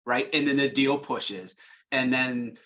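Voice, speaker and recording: Mid-pitched man in panel conversation. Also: slow, sharp tone. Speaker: sales team leader on panel.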